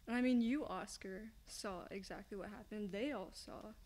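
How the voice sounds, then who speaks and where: A woman's voice: mid-range voice, normal speed, a little mellow. A young woman answering as a guest in a wrestling interview, a little away from the microphone. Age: young adult. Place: indoor wrestling ring.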